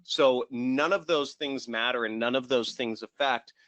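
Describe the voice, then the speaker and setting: A man hosting a webinar Q&A over a desk mic on a video call. His voice is bright and forceful, and he answers in a brisk, assertive way. The sound is dry and close, with no room echo. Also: mid-range voice. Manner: brisk, assertive, interrupts to wrap up. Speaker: course host answering student questions. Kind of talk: live webinar Q&A.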